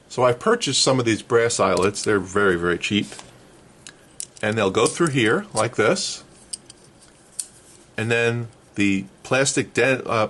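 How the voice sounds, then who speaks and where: A man's voice: medium pitch, slight background noise. A man talking casually as he hosts a hands-on how-to, explaining things step by step. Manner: explains step by step, checking with "okay". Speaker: host of a vintage radio restoration how-to. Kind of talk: casual hands-on tutorial talk.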